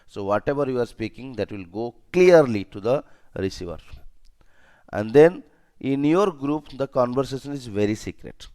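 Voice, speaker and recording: A man's voice: medium pitch, lively intonation, normal speed, a little mellow. Indian-accented man teaching, emphatic. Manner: emphatic, repeats key points, checks understanding with short questions. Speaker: safety and security trainer. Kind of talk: instructional lesson.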